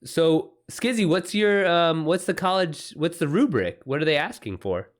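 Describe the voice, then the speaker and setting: A man's voice: on the high side, expressive, normal speed. A man hosting a livestream about writing, chatting casually and unscripted, thinking aloud with informal slang. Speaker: livestream host and author giving writing advice.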